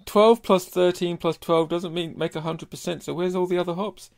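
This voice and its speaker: A man co-hosting a podcast, giving a measured, analytical take in casual review chat. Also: sits high, steady tone, creaky, a little mellow, dry recording, studio quality. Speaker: podcast co-host.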